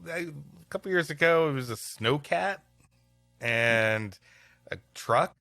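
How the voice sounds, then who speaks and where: A man's voice: fairly high pitch, expressive, a little slow, pushed and bright, no echo, soft background music. A man co-hosting a comedy podcast, moving between reading an old-fashioned story aloud and light banter. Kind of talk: scripted story reading mixed with casual banter.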